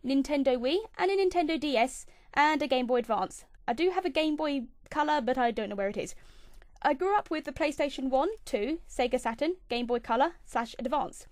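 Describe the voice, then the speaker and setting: Bouncy high-voiced young English woman. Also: dry recording, clean studio sound. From England. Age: 20s. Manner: chirpy, bouncy delivery with sudden dips into a low mutter. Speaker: channel host. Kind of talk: scripted fifty-facts vlog.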